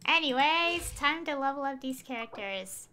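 20s girl game streamer, giggly rambly chat, bright highish voice. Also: animated, moderate pace, a little hiss or hum. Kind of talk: casual livestream commentary.

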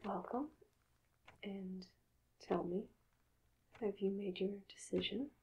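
A woman speaking in a hushed, halting, calm half-whisper, soft-spoken in an ASMR roleplay as a doctor, close to the mic. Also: medium pitch, gravelly, lo-fi sound, slight background noise. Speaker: ASMR performer playing a hypnotist doctor.

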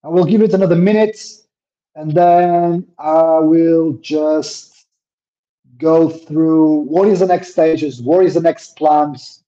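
A man with a somewhat high voice but a warm, mellow tone fields questions at a webinar. He hesitates, restarts and repeats words as he works his way through each answer. The call audio is dull and lo-fi. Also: fairly even intonation, slow pace. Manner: says his own voice is a little deep. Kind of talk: live webinar Q&A. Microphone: laptop mic on a video call.

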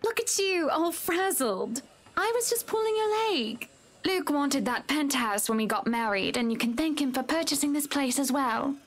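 An actress playing a wealthy, teasing, sharp-tongued young wife in a visual novel, chatty and pointed. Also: female voice, high voice, animated, moderate pace.

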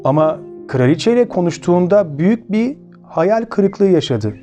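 Male children's storytelling host, Turkish accent, mellow and slightly high, highly expressive, with music underneath. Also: moderate pace. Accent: Turkey Turkish. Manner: expressive, addresses listeners as friends. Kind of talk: children's storytelling with direct questions to listeners.

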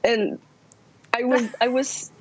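A woman's voice: on the high side, steady tone, slow pace, slightly rough. A young woman from Romania, a student guest, telling a personal story candidly. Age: young adult. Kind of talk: casual interview answers. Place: indoor room.

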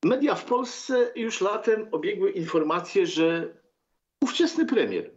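A man in his sixties hosting a political talk show, commenting sarcastically with theatrical, halting delivery. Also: high-pitched, big pitch swings, muffled recording. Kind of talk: sardonic political commentary to viewers. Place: book-lined room at home.

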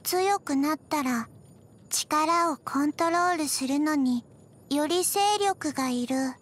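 Slow, high-voiced, deadpan undead little girl. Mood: flat, halting. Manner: halting, deadpan, soft-spoken with frequent pauses. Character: small undead zombie girl, gentle, forgetful, emotionless. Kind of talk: scripted video game character voice lines.

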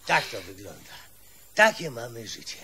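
An actor playing a stubborn, self-important, gruff man in a comic radio play, grumbling and exclaiming pompously. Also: mid-range voice, wildly swooping pitch, normal speed, pushed and bright. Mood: pompous, instructive. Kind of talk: comedy radio play acting.

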